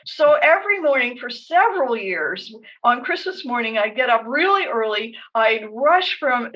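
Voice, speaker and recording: Woman, Canadian accent, fairly high pitch, conversational storytelling, slightly off-mic and muffled. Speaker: podcast co-host. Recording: dull, slightly distant microphone.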